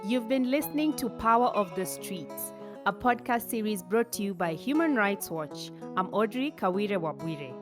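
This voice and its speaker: A woman hosting a podcast, asking measured, deliberate questions and reading a scripted outro. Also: medium pitch, expressive. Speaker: podcast host. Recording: music bed behind voice.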